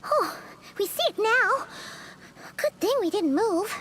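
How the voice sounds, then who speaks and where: Squeaky, very high little fairy companion. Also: female voice, slow, background noise, exaggerated voice. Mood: relieved. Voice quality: squeaky, childlike. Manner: excitable, exclaiming, refers to self in third person. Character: small childlike floating fairy companion, excitable, speaks of herself by name. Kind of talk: video game voice acting. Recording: loud game music behind.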